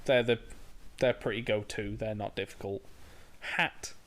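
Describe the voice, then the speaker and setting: A man's voice: fairly low pitch, steady tone, a little slow, fairly bright tone. A young man co-hosting a podcast, chatting casually and restarting his sentences. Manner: laid-back, with frequent restarts and swearing. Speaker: podcast co-host. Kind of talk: casual chat.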